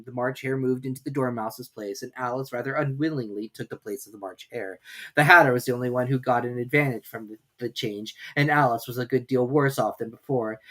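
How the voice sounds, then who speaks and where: A woman reading a storybook aloud in an animated storytelling style. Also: extremely low pitch.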